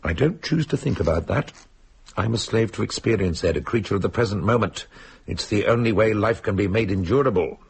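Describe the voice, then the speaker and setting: A man's voice: on the low side, animated, a little fast, creaky, slight background noise. An actor playing a glib, cynical, self-mocking Englishman nearing middle age, with a wry, theatrical, urbane delivery in a British drama. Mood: dry, suave. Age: middle-aged. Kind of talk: British radio drama acting.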